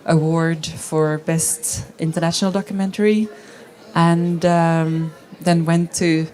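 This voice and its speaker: A woman's voice: low voice, level tone, takes it slowly, darkish tone. A woman heading documentary at a film fund, speaking steadily and informatively on a panel. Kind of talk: panel talk.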